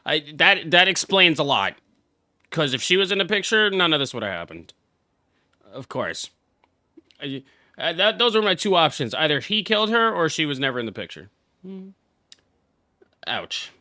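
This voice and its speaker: A man's voice: fairly high pitch, sing-song, normal speed, long pauses, sharp tone, dry recording, studio quality. A young man, a game streamer, giving casual live reaction commentary in a brash, exclamatory, heavily profane way. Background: game music.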